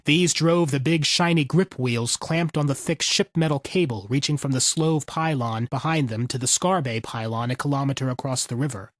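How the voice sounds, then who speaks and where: A man's voice: medium pitch, moderate pace, few pauses, no echo, clean studio sound. A man reading scripted fiction as an audiobook narrator.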